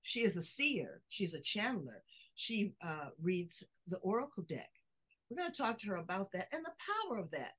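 A woman's voice: medium pitch, a bit bright. A woman hosting an interview show in a conversational style, introducing and questioning a guest. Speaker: podcast host interviewing a guest.

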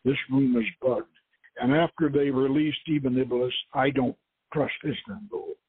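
A man's voice: medium pitch, fairly even intonation, measured pace, breathy edge, no room sound. A man voicing a scowling, wary Turkish colonel in an adventure story, with terse, snarling lines. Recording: muffled phone-like lo-fi audio.

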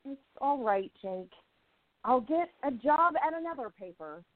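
Woman playing independent young reporter, earnest, slightly high, tinny sound. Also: animated, unhurried, no room sound. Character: young modern female journalist, spirited and independent. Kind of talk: amateur radio play acting.

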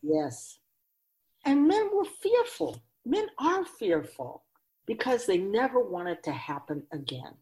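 A woman's voice: on the high side, sing-song, takes it slowly, warm tone. A woman playing a wise, grave otherworldly keeper of souls in a radio drama, speaking in an oracular, instructive and emphatic way.

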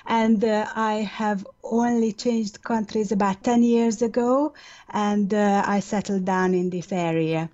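A middle-aged woman from Hungary with a Hungarian accent, a guest on a radio interview, speaking thoughtfully with frequent pauses. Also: mid-range voice, steady tone, darkish tone, muffled recording.

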